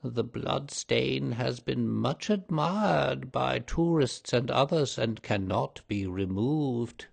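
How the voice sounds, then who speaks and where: A man voicing an old woman housekeeper who speaks slowly in a low, mysterious voice. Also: animated, gravelly, slightly rough, heavily acted. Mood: ominous. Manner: low, slow, mysterious delivery.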